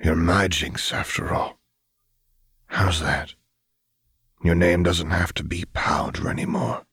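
A man voicing a calm, calculating father figure with soft menace in an audiobook, speaking in a measured, deliberate way. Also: deep voice, slightly rough, no room sound, studio quality, heavily acted. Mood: tender, sly.

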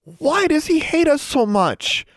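A hot-tempered schoolgirl out of a storybook, indignant and emphatic, put on by a male reader. The pitch sits far above a man's usual talk and moves a lot, in a dry recording. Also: sing-song, slow pace, fairly bright tone, dry recording, heavily acted. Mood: furious.

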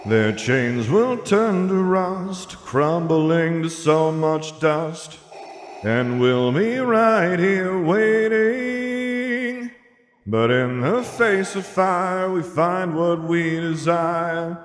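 A man's voice: high-pitched, animated, extremely slow, pitched above the performer's own voice, exaggerated voice. A man voicing a defiant young male singer who rouses a crowd with declamatory song and chants in an audiobook. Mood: defiant singing. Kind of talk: performed song and shouted rallying in an audiobook. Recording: echoey hall reverb with music behind.

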